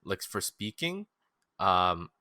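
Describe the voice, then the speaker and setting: Very deep-voiced young man, podcast host. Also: sing-song, takes it slowly, sharp tone, no echo, clean studio sound. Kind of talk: casual chat.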